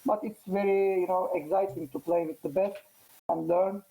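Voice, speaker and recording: A man from Turkey, a Turkish native speaker, chatting casually as a guest after a chess match, halting and full of fillers. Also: high-pitched, fairly even intonation, gravelly, warm tone, rough recording. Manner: halting, many fillers and restarts. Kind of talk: casual post-match interview.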